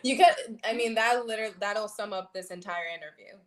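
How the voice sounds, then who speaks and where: A woman's voice: medium pitch, fast, crowd noise. Young woman guest, laughing and hesitant. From Florida and Dominican Republic. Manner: laughing often, hesitant with many ums. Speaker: interview guest, model and singer. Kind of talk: casual interview chat.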